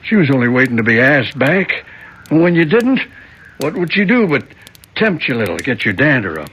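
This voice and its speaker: A man playing an injured, willing sidekick in a 1970s radio drama, with a raspy voice. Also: medium pitch, big pitch swings, brisk, a bit bright, slight background noise. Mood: earnest, coaxing.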